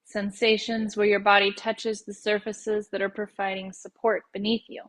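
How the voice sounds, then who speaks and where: A woman leading a guided meditation as a yoga nidra teacher, speaking in slow, unhurried phrases with gentle pauses. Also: medium pitch, little pitch movement.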